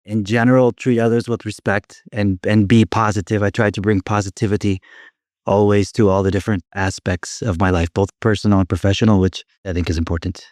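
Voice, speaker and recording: A man's voice: on the low side, flat delivery, brisk, a little mellow, no echo, clean studio sound. A man from Michigan being interviewed as a company co-founder, talking about his values and his upbringing in an upbeat way. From Michigan, United States. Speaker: guest, firm co-founder. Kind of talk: interview answers.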